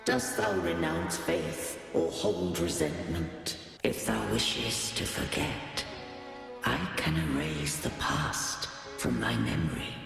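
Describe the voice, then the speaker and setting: A man voicing an ancient, godlike being in a fantasy game, solemn and weary, with archaic, stately and measured speech. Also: low-pitched, huge pitch swings, creaky, exaggerated voice. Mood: gentle, solemn. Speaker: game character voice. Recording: cavernous echoing reverb with music behind.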